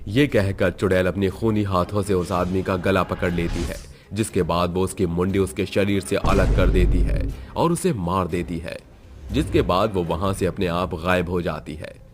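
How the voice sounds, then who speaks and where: A man with a deep, low voice narrates a scary story as a scripted tale. His delivery is that of a dramatic storyteller, with music playing faintly behind him. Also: steady tone, moderate pace.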